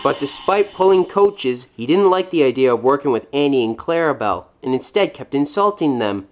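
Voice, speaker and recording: A man's voice: mid-range voice, animated, fairly quick, dull audio, music in the background. A man reading scripted children's story narration as the narrator.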